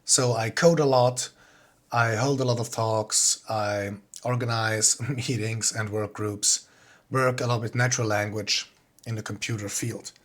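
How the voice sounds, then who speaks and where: A man's voice: fairly low pitch, steady tone, a little slow. Plain-spoken man with a Swiss accent. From Switzerland. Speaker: composer giving a talk. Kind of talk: informal online presentation.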